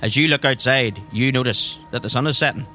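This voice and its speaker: A man's voice: medium pitch, fairly quick, bright, forceful tone, faint music behind. A man narrating a tabletop roleplay game as its game master.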